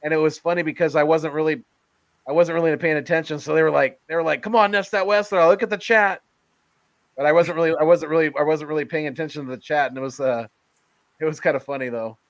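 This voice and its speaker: A man's voice: on the high side, steady tone, fast, no room sound. A man talking casually as a sports fan, blunt and cursing about the team.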